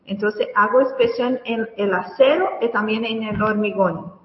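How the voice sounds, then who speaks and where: A Brazilian woman whose first language is Portuguese, a guest engineer on a radio interview, speaking Spanish slowly and searching for words. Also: mid-range voice, echoey room, long reverb tail, recorded from a distance, lo-fi sound.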